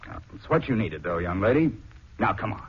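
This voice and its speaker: A man's voice: lowish voice, very animated, fairly quick, slightly rough. A man acting an easygoing, teasing, baffled husband in a 1940s radio drama, on a muffled old recording. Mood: coaxing, teasing.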